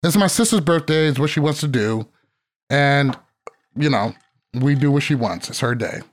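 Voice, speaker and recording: A man's voice: mid-range voice, expressive, normal speed. A man in his thirties hosting a podcast, chatting casually and brashly, swearing freely. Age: 30s. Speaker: podcast host.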